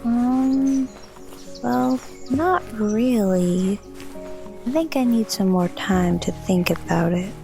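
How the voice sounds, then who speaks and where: A woman's voice: on the high side, slow pace, gravelly, dark tone. A woman playing a curious, cheeky young daughter of a soldier in an audio drama, inquisitive and teasing. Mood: hesitant, sincere. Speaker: voice actor. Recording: faint music behind the voice.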